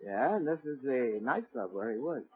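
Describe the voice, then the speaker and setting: A man's voice: medium pitch, sing-song, moderate pace, breathy edge. A man playing a patient, wry guardian angel in a 1940s radio comedy, explaining things in a matter-of-fact way. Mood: calm. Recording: tinny, very noisy old radio recording.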